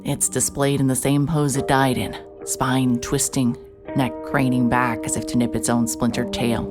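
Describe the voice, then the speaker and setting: A woman playing a hard-hearted, stubborn private investigator in an audio drama, narrating in a wry, terse, deadpan way. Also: very deep voice, moderate pace, over loud music. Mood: brooding, observant. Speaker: narrator and lead actor.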